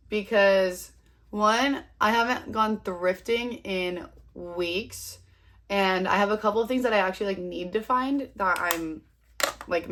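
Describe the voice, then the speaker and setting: A woman's voice: mid-range voice, fairly bright tone, slight background noise. A woman in her twenties with a sniffly cold, chatting casually and rambling to her viewers while she does her makeup at home. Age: 20s. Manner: chatty, rambling, self-deprecating. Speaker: vlogger. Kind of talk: casual chat to camera.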